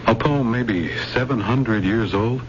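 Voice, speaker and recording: An actor playing a calm, supportive police lieutenant, steady and matter-of-fact, in old-time radio drama style. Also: male voice, mid-range voice, unhurried, lightly acted. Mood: steady, approving. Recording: muffled, very noisy old radio recording.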